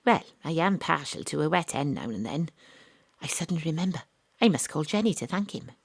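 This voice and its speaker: A woman's voice: low voice, sing-song, fairly quick, vocal fry, breathy edge, no room sound, full character voice. An actress playing a wry, self-regarding detective, telling her own story in an audio drama. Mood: smug, relaxed. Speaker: actor narrating an audio drama. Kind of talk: first-person dramatic narration.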